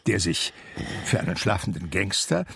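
Wry reflective narrator telling his memories in a radio play, deep gravelly voice, measured. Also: very animated, fairly bright tone, no room sound, exaggerated voice. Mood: reflective, observant. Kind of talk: scripted literary narration in radio drama.

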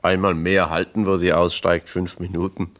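Radio play role: East German factory comrade, deep register, earnest, plain-spoken, persistent. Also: male voice, flat delivery, normal speed, a bit bright. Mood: questioning, insistent.

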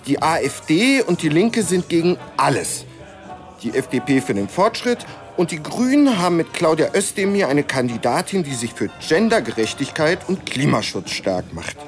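An actor playing a campaign adviser who explains things matter-of-factly in a satirical radio play. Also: male voice, a little high, sing-song, moderate pace, faint music behind.

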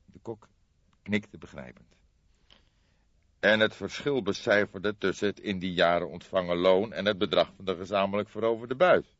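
A man's voice: low voice, normal speed, a bit bright, dry recording, dull audio. A man reading scripted literary narration as an audiobook narrator.

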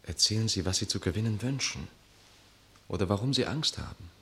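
An actor playing a discreet, cautioning adviser to a ruler, formal and restrained, with a husky voice, in a radio drama. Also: male voice, on the low side, a little fast, a little hiss or hum, natural voice. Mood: quietly prompting. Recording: long hall reverb.

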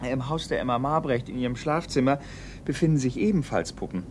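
A man acting a shaken, earnest young police assistant in a German radio crime play, speaking haltingly. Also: medium pitch, lively intonation, slight background noise, lightly acted. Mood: reporting. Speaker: actor in radio crime drama.

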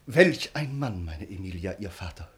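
An actor playing an earnest, brooding count and devoted bridegroom in a formal, declamatory classical German radio play. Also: male voice, low-pitched, sing-song, moderate pace, husky, full character voice. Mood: tender, solemn.

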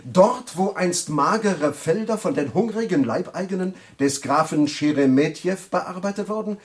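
An actor playing a vain, boisterous writer in a German radio drama, with a pompous, declamatory delivery. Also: male voice, high-pitched, lively intonation, moderate pace. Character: vain, boisterous male hack writer, self-important.

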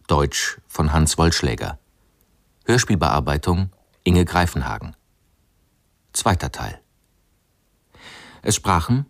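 Male announcer, deep voice, slow formal credit reading, matter-of-fact, dry studio sound. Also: no echo. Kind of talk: formal scripted radio credits.

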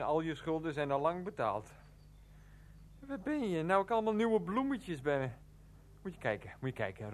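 Rambling, higher-voiced grumbling man in a radio play. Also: fairly bright tone. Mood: plaintive, searching. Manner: muttering to himself, talks of himself in third person. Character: rambling, defiant, grumbling man who tipples, Freek. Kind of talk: Dutch radio play acting.

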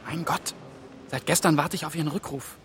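A man's voice: a little high, steady tone, a little fast, fairly bright tone, slight background noise, natural voice. An actor playing an anxious, impatient man caught up in a conspiracy in a German radio play, with a natural, muttering manner. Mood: irritated.

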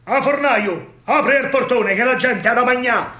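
A man's voice: very high-pitched, moderate pace, pushed and bright, echoey room, voice pushed up. A man acting a rallying army captain with a Roman accent, commanding and urging in a verse stage monologue.

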